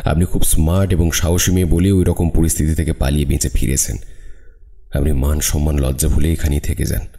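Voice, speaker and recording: Guy doing a cool logical detective, super deep gravelly voice, dark tone. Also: little pitch movement, heavily acted. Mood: calm. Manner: measured, rational. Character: calm, logical male detective.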